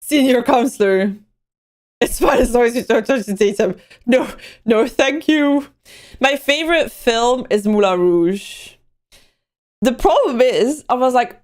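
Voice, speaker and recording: A woman's voice: fairly high pitch, a little mellow. Chatty woman streaming live. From Belgium. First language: Dutch. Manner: chatty, rambling, answering chat messages. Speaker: livestream host. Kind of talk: casual livestream chat.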